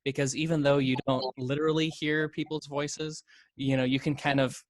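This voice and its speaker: A man's voice: fairly high pitch, flat delivery, normal speed, dry recording. A man who voice acts, explaining his approach in casual panel chat. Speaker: amateur voice actor.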